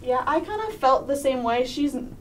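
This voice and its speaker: A woman's voice: sits high, lively intonation, normal speed. A teenage woman, a student actor, answering casually and informally in a panel interview. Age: teens. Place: small indoor studio. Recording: faint background room noise.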